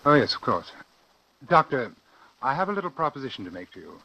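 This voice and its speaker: A man acting a cold, scheming doctor in a 1940s radio drama, speaking formally and deliberately, with calculating, persuasive courtesy. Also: mid-range voice, very animated, sharp tone, poor audio. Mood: businesslike. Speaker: doctor and first-person narrator. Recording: vintage 1940s radio recording, music behind.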